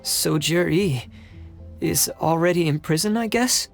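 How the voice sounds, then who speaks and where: Level-headed young game trainee: modest, earnest, gravelly fry in the voice, faint music underneath. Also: medium pitch, unhurried. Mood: wistful, subdued. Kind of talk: video game voice acting.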